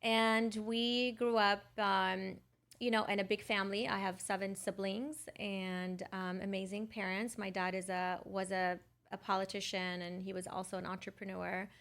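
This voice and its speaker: A woman's voice: medium pitch, flat delivery, moderate pace, a bit bright. A middle-aged woman from Lebanon telling her personal story conversationally as a podcast guest. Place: podcast studio.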